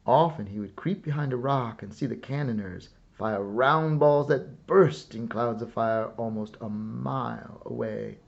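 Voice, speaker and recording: A man reading a children's story aloud as scripted narration, with a measured, dramatic storyteller's delivery. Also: mid-range voice, gravelly, dull audio. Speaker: storyteller reading a picture book.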